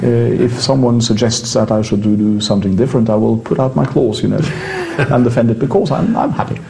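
Man, Norwegian accent, native Norwegian speaker, priest, dark mellow tone, medium pitch, halting conversational explanation. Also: vocal fry. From Norway.

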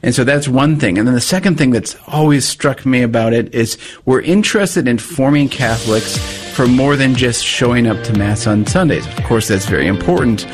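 A man's voice: medium pitch, moderate pace, few pauses, over music. Male guest telling stories. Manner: anecdotal, animated storytelling. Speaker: radio guest, media CEO. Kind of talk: conversational radio interview.

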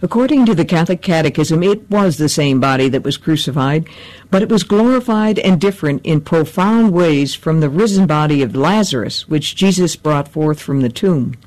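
A woman presenting a short scripted catechism segment in a measured, even reading. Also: deep voice, low-quality recording.

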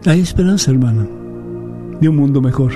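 A man's voice: mid-range voice, animated, fairly quick. A man speaking as a priest and programme host, reverent and conversational. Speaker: priest hosting a call-in programme. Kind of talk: devotional prayer and unscripted interview. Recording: soft new-age music behind the voice. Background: new-age music.

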